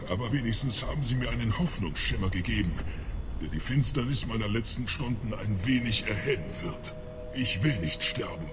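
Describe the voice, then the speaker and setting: An actor playing a bitter, plague-sick alien in a video game, speaking gravely and weakly in measured, formal words. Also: male voice, fairly low pitch, lively intonation, close to an everyday voice. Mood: resigned. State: sick, coughing, weakened. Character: dying plague-sick male alien, bitter, suspicious of humans. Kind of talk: video game dialogue acting. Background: game music.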